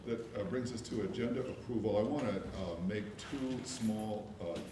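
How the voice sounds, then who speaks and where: A man chairing a board meeting, measured and hesitant, with many fillers, recorded from afar in an echoey room with noise. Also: on the low side. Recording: echoey room, mic from far off, background noise.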